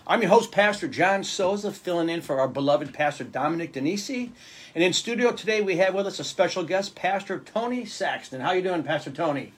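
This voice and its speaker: A man's voice: sits high, expressive, fairly quick, breathy edge. A man hosting a gospel radio interview show in a studio, affirming his guest with frequent amens. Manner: affirming, peppers talk with amens. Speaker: pastor and show host.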